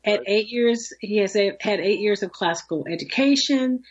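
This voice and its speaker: Husky woman radio host, lively. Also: medium pitch, moderate pace. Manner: lively, animated, laughs easily, restarts often. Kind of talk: casual radio chat. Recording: dull lo-fi sound.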